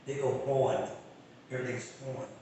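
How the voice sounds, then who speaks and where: A man jokes around in relaxed, casual banter. He is picked up from a distance in a very echoey room, with long reverb and steady background noise. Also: medium pitch, normal speed. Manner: laid-back, joking banter. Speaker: podcast co-host. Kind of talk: casual chat.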